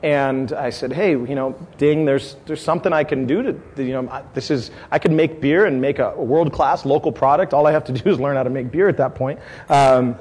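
A man's voice: mid-range voice, moderate pace, hardly any pauses, a little mellow, breathy edge. A middle-aged man from San Diego, a brewery owner, talking casually to a tasting crowd, rambling with many fillers and restarts. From San Diego, California. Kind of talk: casual talk at a tasting event.

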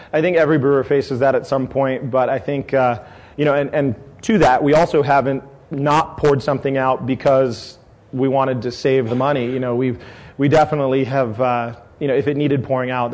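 A man's voice: medium pitch, darkish tone. A middle-aged man from San Diego, a brewery owner, talking casually to a tasting crowd, rambling with many fillers and restarts. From San Diego, California. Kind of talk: casual talk at a tasting event.

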